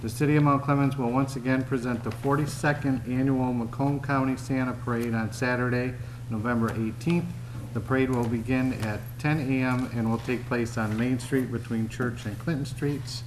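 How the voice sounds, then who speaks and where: A man in the role of city manager, reading prepared announcements in a steady, formal way. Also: mid-range voice, normal speed, noisy background. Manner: steady, formal, reads from notes. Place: city commission chamber.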